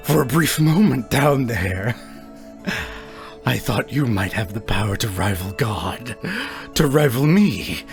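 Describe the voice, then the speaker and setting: A man's voice: medium pitch, lively intonation, takes it slowly, pauses often, soft background music. A man voicing an arrogant, mocking self-styled god in a tabletop roleplay, theatrical and menacing. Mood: mocking, gloating. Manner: gloating, theatrical, given to laughing. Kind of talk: tabletop roleplay character acting.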